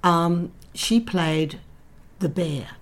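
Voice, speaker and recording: A woman's voice: lowish voice, very slow. A middle-aged woman, Dutch-born, talking as an interview guest and telling stories with reflective, dry humour. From Netherlands. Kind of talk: candid interview conversation.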